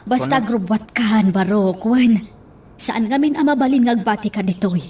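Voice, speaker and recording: A woman's voice: a little high, moderate pace, mellow, slightly rough, distant mic. A woman acting in an amateur radio drama, urgently telling someone to get ready and leave.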